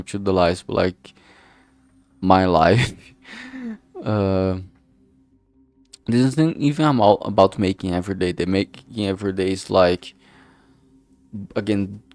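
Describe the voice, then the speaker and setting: A man chatting casually on a livestream in a rambling, halting way, swearing now and then, speaking into a filtered streaming microphone. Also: fairly low pitch, a little mellow, dry recording. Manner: rambling, halting, often losing his thread. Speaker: streamer and amateur game writer. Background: background music.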